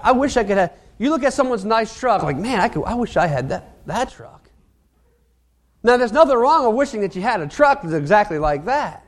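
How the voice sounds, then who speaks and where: A man preaching a sermon in a halting, earnest way, often repeating words as he goes. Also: very high voice.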